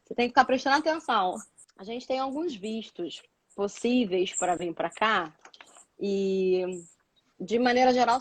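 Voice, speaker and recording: A Brazilian woman chatting casually and explaining things as a guest, repeating words for emphasis. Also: a little high. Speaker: guest, doctoral student living in Portugal.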